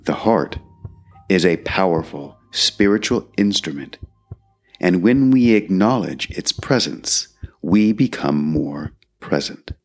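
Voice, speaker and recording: A man guiding a meditation in a calm, measured way, leaving long pauses between phrases. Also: low-pitched. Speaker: meditation guide. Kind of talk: guided meditation narration. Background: background music.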